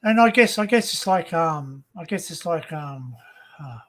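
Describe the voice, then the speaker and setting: Aussie guy hosting a stream, kinda high voice, excitable rambly jokey chat. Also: a little slow. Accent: Australian. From Australia. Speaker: livestream host. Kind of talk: casual livestream chat.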